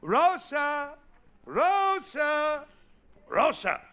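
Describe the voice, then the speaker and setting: A man's voice: very high-pitched, sing-song, extremely slow, sharp tone, slight background noise, exaggerated voice. An actor playing a scheming, dramatic older Italian immigrant man in broken English, in the style of 1940s radio comedy. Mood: coaxing. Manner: theatrical, pleading, broken English.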